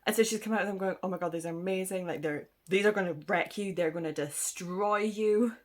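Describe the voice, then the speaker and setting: A woman's voice: medium pitch, fairly quick. A woman rambling casually to camera about her reading, sniffly from a cold, chatty and self-deprecating with frequent ums and laughs. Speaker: book vlogger. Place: indoors at home.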